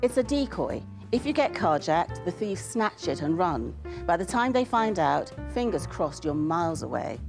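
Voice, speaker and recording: A woman's voice: fairly low pitch, big pitch swings, normal speed, vocal fry, music in the background. Woman reader as gossipy young woman spinning a tale, theatrical, emphatic, storybook style. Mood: dramatic, gossipy. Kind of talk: audiobook-style character reading.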